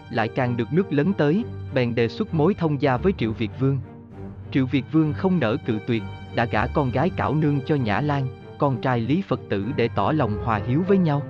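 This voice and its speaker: A man's voice: mid-range voice, animated, brisk, muffled recording. A man narrating history from a script in a formal storytelling manner. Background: background music.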